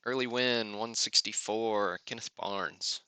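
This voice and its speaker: Man, very crisp and forceful, low-pitched, casual livestream host, off-the-cuff asides. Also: takes it slowly, no room sound. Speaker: host of a sports card break livestream. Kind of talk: casual live commentary on card openings.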